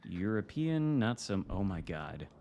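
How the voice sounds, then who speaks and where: A man from Michigan with an American accent, commenting casually while streaming a game from home, wry and relaxed. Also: fairly low pitch, unhurried, lo-fi sound, very noisy. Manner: relaxed, wry, reacting to the game with mild swearing. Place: home room.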